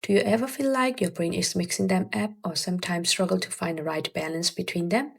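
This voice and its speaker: Young woman with very creaky voice. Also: lowish voice, brisk. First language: Burmese. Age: early 20s. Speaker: language-learning vlogger. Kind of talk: scripted advice narration to viewers.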